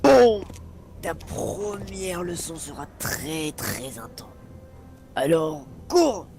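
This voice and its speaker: A man plays a bossy orc trainer in a comic fantasy role-play. He barks curt, vulgar orders and mocks, his gravelly voice leaping around in pitch. Loud music plays along with him. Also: very animated, very slow, full character voice. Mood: commanding, impatient. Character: bossy, menacing male orc trainer, mocking and impatient.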